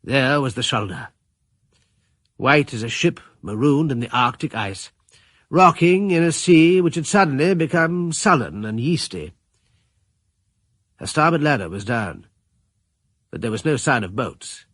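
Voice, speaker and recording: An actor playing a grave, commanding middle-aged British gentleman adventurer, narrating a radio drama in measured, literary fashion. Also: mid-range voice, animated, dry recording, studio quality. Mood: grave, tense.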